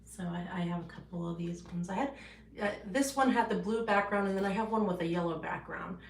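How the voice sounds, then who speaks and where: A woman's voice: lowish voice, a little fast, gravelly, reverberant, a little hiss or hum. A woman artist casually showing her paintings on a livestream, rambling with many fillers and restarts, in her home art studio.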